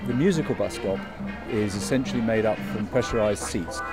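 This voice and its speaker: A man's voice: fairly low pitch, brisk, over loud music. An older man, a university researcher, explaining a project earnestly on a busy street, asking rhetorical questions. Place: outdoors on a busy city street.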